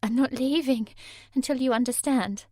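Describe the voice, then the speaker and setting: A frightened young woman, as a female narrator puts her on, pleads in a high, tearful, cracking voice. Each word comes out desperate and urgent. The sound is close and dry, with no room around it. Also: normal speed, a little mellow, no echo.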